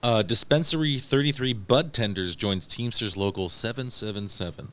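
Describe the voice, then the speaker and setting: Low-voiced American man co-hosting a podcast. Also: moderate pace, a bit bright. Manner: informal, swears. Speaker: podcast co-host reading news items. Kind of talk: casual chat with news read out.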